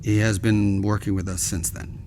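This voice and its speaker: A man acting a grave, formal senior admiral in an audio drama, speaking in a measured, deliberate way. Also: lowish voice, little pitch movement, background noise, natural voice. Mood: solemn.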